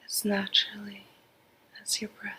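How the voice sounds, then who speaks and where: A woman guiding a sleep meditation in a breathy half-whisper, soothing and measured, with long pauses. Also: medium pitch, completely flat delivery, pushed and bright, slight background noise, low-quality recording. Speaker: meditation guide. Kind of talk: slow guided sleep meditation.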